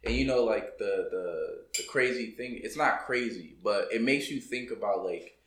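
A man from Boston, guesting on a casual podcast. His voice sits a little low, and he thinks aloud with plenty of "you know" and "like". The room around him is roomy and echoey. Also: normal speed, fairly bright tone. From Boston, United States. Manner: loose and reflective, many "you know" and "like" fillers. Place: coworking room.

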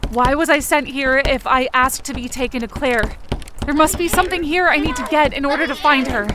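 A frightened, determined young woman, played by an actress narrates her ordeal in an audio fiction drama. Her voice is high and her delivery tense and urgent, told moment by moment. Clear noise runs behind the voice. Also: fairly quick, close to an everyday voice.

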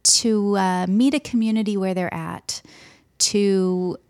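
A young woman pastor speaking softly and gently in an interview, pausing often with hesitant ums. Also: medium pitch, fairly even intonation. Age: young adult. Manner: soft-spoken, gentle, hesitant with many ums. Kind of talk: interview conversation.

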